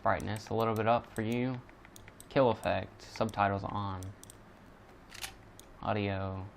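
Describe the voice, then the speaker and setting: A man with a fairly low voice chats casually through a gameplay recording. His talk rambles along, with faint noise behind him. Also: fairly even intonation, a little slow, gravelly. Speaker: gamer recording a playthrough.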